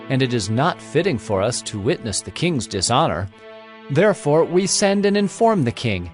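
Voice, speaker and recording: An actor gives voice to officials denouncing a city in a formal letter. The reading is measured and accusatory. Faint music plays underneath. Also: male voice, mid-range voice, close to an everyday voice.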